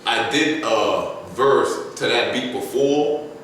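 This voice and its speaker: A young adult man, a rapper guest, talking casually and slangily on a podcast, his voice jumping up and getting loud when he gets animated. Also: slow. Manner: laid-back, slangy, climbs high and loud when animated. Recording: echoey room, distant mic, faint noise.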